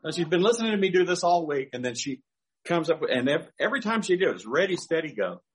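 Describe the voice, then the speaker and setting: Older male pastor, folksy storyteller, a bit high-pitched, animated delivery. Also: fast talker, poor audio. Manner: folksy storytelling, chuckling asides. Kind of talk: sermon with personal anecdotes.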